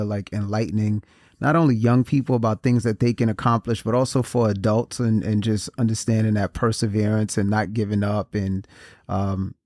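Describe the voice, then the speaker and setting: A man with a low-pitched voice hosts a podcast interview over a video call. He talks casually and haltingly, often restarting his sentences and repeating words. The sound is dry and close, with no room echo. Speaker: podcast host.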